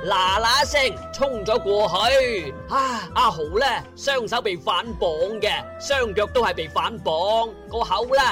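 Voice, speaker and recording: Male comic narrator, playful asides, high and bright. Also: animated, unhurried, hardly any pauses, faint music behind.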